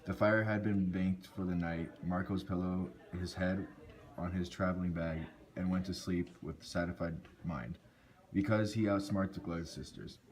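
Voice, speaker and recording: A man's voice: low-pitched, darkish tone. A man narrating a scripted folk tale, reading aloud and occasionally stumbling over words.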